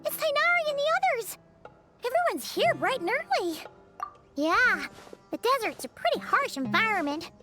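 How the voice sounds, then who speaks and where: A woman voicing a small, childlike fairy companion in a video game, squeaky, chatty, excitable and easily nervous. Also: moderate pace, over loud music. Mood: excited. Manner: refers to self in third person, exclaiming. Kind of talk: video game character dialogue.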